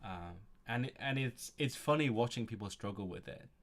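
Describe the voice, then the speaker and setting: A man's voice: mid-range voice, expressive, moderate pace. A man streaming a language study session, reading from a textbook and thinking aloud with frequent chuckles. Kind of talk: casual livestream, reading a textbook aloud and commenting.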